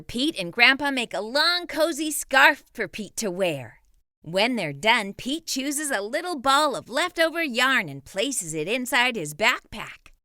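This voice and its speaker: A woman reading a children's picture book aloud, with a lively, expressive delivery. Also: a little high, no echo, clean studio sound. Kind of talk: read-aloud storytelling.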